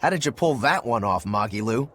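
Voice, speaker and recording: A man voicing a composed, wry male companion in a video game, dry and measured. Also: mid-range voice, animated, fairly bright tone, slight background noise, close to an everyday voice. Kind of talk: video game cutscene voice acting.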